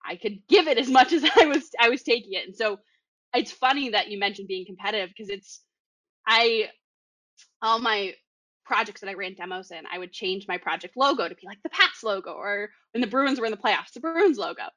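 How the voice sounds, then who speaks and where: A woman's voice: mid-range voice, animated, a little fast, fairly bright tone, no room sound. A young woman from the Boston area with a Boston accent, chatting casually and laughing often. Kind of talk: casual podcast chat.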